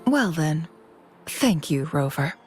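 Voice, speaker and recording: Calm lowish-voiced game strategist lady, formal n measured, sharp-minded advisor, music in the back. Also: heavily acted. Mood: composed, serious. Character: composed, perceptive female strategist advisor, calm and deliberate. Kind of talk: scripted video game dialogue. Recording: music behind the voice.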